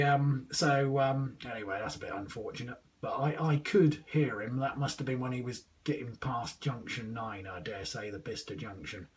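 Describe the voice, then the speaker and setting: A man's voice: medium pitch, recorded from a distance, slight background noise, low-quality recording. A young man chatting casually over CB radio, hesitant, rambling and full of slang. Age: 20s. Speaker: amateur CB radio operator testing a new radio. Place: small indoor room with radio gear.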